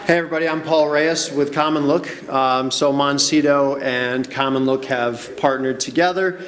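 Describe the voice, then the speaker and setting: A man's voice: mid-range voice, steady tone, normal speed, a bit bright. A man giving a casual conference talk, chatty and often asking "right?" to the audience. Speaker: conference presenter. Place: conference room with noise next door. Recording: roomy hall recording.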